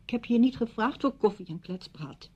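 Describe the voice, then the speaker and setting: A woman's voice: on the high side, animated, normal speed, mellow, slightly rough. An actress in a Dutch radio play, playing a wounded, bitter widow who speaks curtly and sarcastically to her friend. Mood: cold, sarcastic. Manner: curt, sarcastic. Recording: dull, muffled radio recording.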